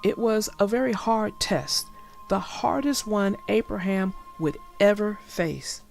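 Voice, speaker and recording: A woman narrating a children's story as a scripted read-aloud, in a deliberate, expressive way. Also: medium pitch, creaky, soft background music.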